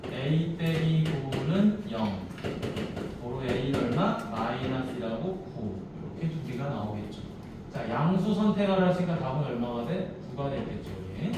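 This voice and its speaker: A man teaching a math class, walking students through steps and often stopping to check that they follow. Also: a little high. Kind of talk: classroom math lesson with casual chat to students. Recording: distant mic, echoey noisy classroom.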